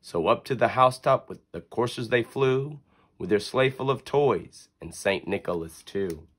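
A man reads a storybook in verse for children. His delivery is dramatic and expressive, with pitch that swings widely from line to line. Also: moderate pace. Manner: theatrical, dramatic phrasing. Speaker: storybook reader.

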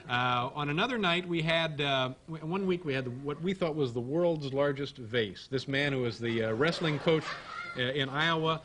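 A man's voice: on the high side, moderate pace, a bit bright, slight background noise. A man in his thirties hosting a late-night comedy show, joking in a dry, mock-serious way at his desk in a TV studio. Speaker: talk show host. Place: TV studio stage before an audience. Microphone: desk microphone.

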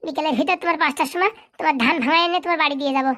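A woman's voice: high-pitched, fairly even intonation, a bit bright. A woman voicing a cocky, bossy village boy who schemes and talks people round. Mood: wheedling. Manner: bossy, fast-talking, wheedling. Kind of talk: comic cartoon voice acting.

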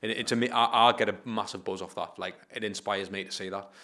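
A man in his thirties from England, hosting a podcast, chatty and enthusiastic, talking into a studio mic in a dark studio. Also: fairly low pitch, fairly even intonation, quick pace. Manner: enthusiastic, chatty, says mate. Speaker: podcast host. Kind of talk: casual interview talk. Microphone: studio mic on boom arm.

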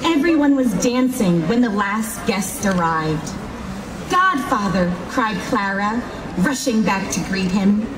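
Slow-reading woman in an echoey square. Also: medium pitch, recorded from a distance, noisy background. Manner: unhurried, expressive reading. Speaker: storyteller. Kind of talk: reading a storybook aloud. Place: outdoor town square at night. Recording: echoey open air from afar with crowd.

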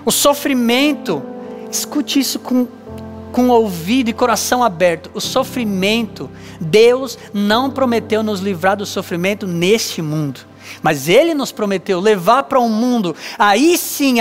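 A man in his thirties with a Brazilian Portuguese accent, a pastor giving an earnest, measured sermon with emphatic pauses on a church stage. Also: high-pitched. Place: dark church stage with lights, indoors.

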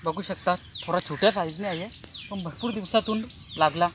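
A man's voice: high-pitched, moderate pace. A young man chatting casually as a fishing vlogger outdoors. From India. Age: young adult. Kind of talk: casual outdoor vlog chat. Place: outdoors on a riverbank. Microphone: phone camera, varying distance. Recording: very noisy outdoor phone recording. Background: loud insects and outdoor ambience.

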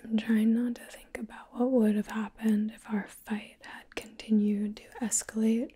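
A young woman whispering softly and intimately in an ASMR roleplay, close to the mic, with deliberate pauses. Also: fairly high pitch, level tone. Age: young adult. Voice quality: breathy whisper. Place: small living room, indoors.

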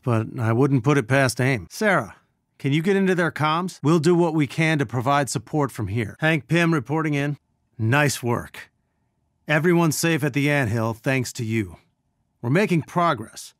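A man's voice: medium pitch, no room sound, studio quality, natural voice. Male game role, played by an actor: earnest scientist and resistance leader briefing a hero squad over comms, natural delivery. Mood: focused. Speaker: mission support over comms. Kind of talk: video game voice acting.

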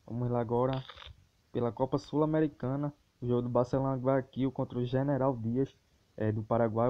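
A Brazilian man speaks in a mid-range voice with very little melody, keeping an even line as he goes. He sounds like an informal online host giving sports tips, addressing his viewers directly and casually. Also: medium pitch, dull audio. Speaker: host of a football betting tips channel. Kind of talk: casual sports tips commentary over a screen recording.

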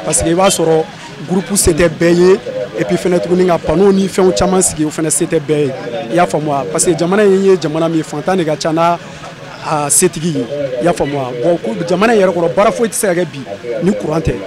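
Young man over clear crowd noise. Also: on the high side, brisk. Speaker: phone repair worker. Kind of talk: vox pop answer. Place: outdoors at a shop front. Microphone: handheld mic held by interviewer.

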